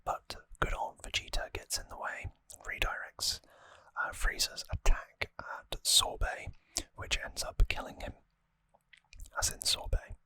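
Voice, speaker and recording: ASMR narrator: fully whispered, breathy, informal and explanatory, close-miked with no room sound. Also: unhurried, no echo, rough recording. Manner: soft-spoken, informal, explanatory. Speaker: ASMR host. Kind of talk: ASMR whispered story recap. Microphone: close microphone, dry.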